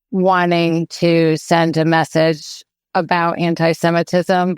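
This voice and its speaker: A female reporter giving analysis on a news podcast, thoughtful, with pauses and fillers. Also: sits low, clear, resonant tone, no room sound.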